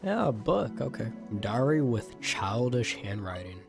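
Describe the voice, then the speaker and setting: A man's voice: mid-range voice, very animated, unhurried, vocal fry, music in the background. A man giving casual live gameplay commentary as a streamer, slangy and reactive, with muttered asides. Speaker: game streamer.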